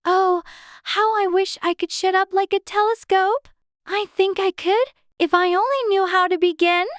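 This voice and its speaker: Alice, a very high-voiced little girl. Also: normal speed, dry recording, clean studio sound. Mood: wistful. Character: Alice, curious, self-scolding little girl. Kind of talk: dramatized audiobook character acting.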